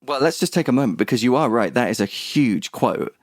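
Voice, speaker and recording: A man's voice: mid-range voice, expressive, a little fast, no room sound. Guy on a podcast call from home, dry close mic, fumbling quotes and linking themes. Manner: chatty, many fillers while recalling quotes. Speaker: podcast co-host. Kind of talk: casual chat about a film. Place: home, over an internet call.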